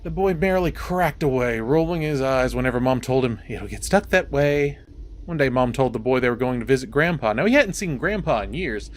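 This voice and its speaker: A man's voice: mid-range voice, fairly quick, few pauses, slight background noise. A man hosting a horror game, mixing playful, wisecracking commentary with story reading. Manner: animated, wisecracking.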